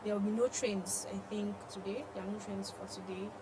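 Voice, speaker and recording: A woman's voice: mid-range voice, fairly even intonation, a little fast. Nigerian woman news co-host with background noise. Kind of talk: newspaper review and discussion. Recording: clear background noise and ambience.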